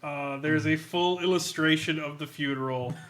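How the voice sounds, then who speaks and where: A man co-hosting a podcast, giving an animated, sweary comedic review of a role-playing adventure, reading bits out and laughing often. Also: on the high side, normal speed. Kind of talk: casual comedic review with read-out excerpts.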